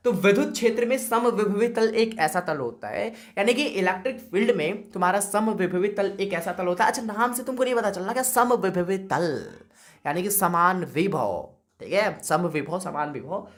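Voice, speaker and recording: A man's voice: high-pitched, expressive, moderate pace. A man with a sore throat, teaching physics exam answers in a chatty way, often checking in with students and calling them brother. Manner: chatty, repeats "okay" and calls students "brother". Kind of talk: exam-prep lesson.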